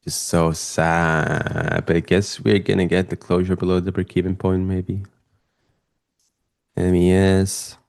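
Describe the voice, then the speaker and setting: A young man from Spain with a Spanish accent, chatting casually and excitably as he comments on live trades for his stream viewers. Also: deep voice, measured pace, gravelly.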